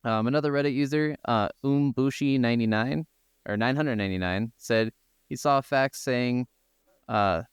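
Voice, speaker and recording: A man's voice: medium pitch, moderate pace, smooth, no room sound. A man chatting casually as co-host of a gaming podcast, telling things in an informal, meandering way. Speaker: video game podcast co-host.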